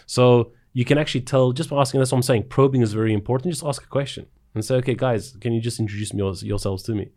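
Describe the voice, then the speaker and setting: A man's voice: mid-range voice, fast, few pauses, a little mellow. A man with a South African accent explaining sales in a conversational way, acting out bits of example dialogue. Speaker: sales expert, interview guest.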